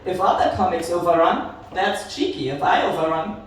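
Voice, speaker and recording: A woman's voice: fairly low pitch, moderate pace, a little hiss or hum. A woman in her thirties with a German accent, performing dry, self-deprecating stand-up comedy at a live venue. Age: 30s. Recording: echoey venue, distant mic, crowd.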